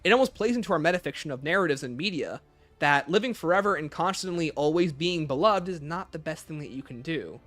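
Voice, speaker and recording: A man's voice: on the high side, animated, fast, a bit bright. A man hosting a livestream, chatting casually as he takes viewer questions and answers them offhand. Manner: reads questions aloud, then answers offhand. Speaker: livestream host.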